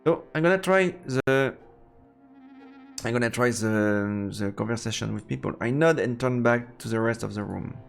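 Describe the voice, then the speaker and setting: A man doing live let's play commentary and reading game text aloud, halting and repeating words as he goes. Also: medium pitch, gravelly. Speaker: game streamer. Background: faint game music.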